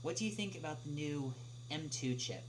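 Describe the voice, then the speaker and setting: A young man answering questions in a casual livestream chat, speaking haltingly with many drawn-out filler pauses. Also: a little high, lively intonation. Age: young adult. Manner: halting, hesitant delivery with frequent long filled pauses. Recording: clearly noisy, humming background.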